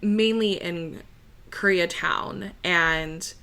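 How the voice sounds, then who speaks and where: Slow, bright-toned young woman chatting. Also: fairly low pitch. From United States. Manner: relaxed and chatty, many fillers, laughs easily. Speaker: interview guest, opera singer. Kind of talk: casual conversational interview.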